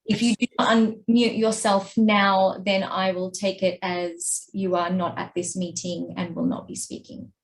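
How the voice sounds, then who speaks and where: A woman chairs an online meeting over a video call, speaking slowly and deliberately. She calls up each speaker politely and apologizes readily. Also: medium pitch, flat delivery, low-quality recording.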